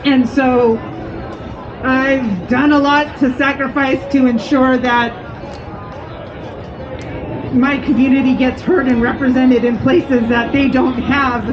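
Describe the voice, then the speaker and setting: A scientist and activist from Fort Peck, Montana, giving a personal speech at an outdoor protest, often halting and restarting. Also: high-pitched, steady tone, noisy background. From Fort Peck, Montana, United States. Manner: halting, with frequent restarts and fillers. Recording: dull lo-fi outdoor recording. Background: crowd and music.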